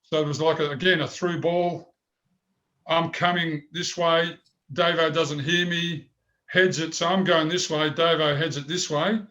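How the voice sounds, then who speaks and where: A man's voice: on the high side, fairly even intonation, measured pace, a bit bright, dull audio. An older man with a big voice, telling rambling stories in an interview. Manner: rambling anecdotes with many restarts. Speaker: former goalkeeper, guest. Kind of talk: storytelling interview.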